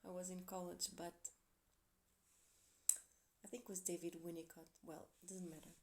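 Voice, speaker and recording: A woman from Portugal, speaking English as a second language with a Portuguese accent, giving an earnest, encouraging tarot reading with soft, quiet asides. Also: on the low side, flat delivery, normal speed, a little hiss or hum. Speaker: tarot reader. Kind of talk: tarot reading for a zodiac sign.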